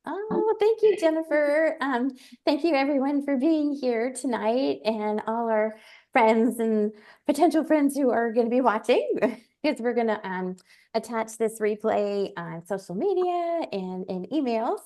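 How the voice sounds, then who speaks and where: A bubbly woman leads an interview over a video call. She gushes and laughs easily between her questions. Her voice sits fairly high. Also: animated, a little slow, mellow. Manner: bubbly, laughs often, gushing. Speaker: emcee and interviewer. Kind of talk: casual interview.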